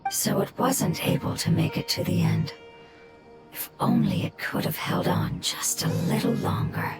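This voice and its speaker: A woman voicing a serene, ancient elemental forest creature in a video game, soft, breathy, measured and solemn. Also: on the low side, over loud music. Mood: sorrowful.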